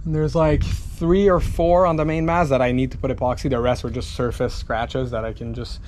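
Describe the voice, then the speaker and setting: A man's voice: mid-range voice, steady tone, a little fast, a little mellow, slight background noise. Casual Canadian man chatting to camera outdoors. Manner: laid-back, sweary, slangy. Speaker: sailing vlogger. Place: outdoors in a boat yard among hauled-out boats.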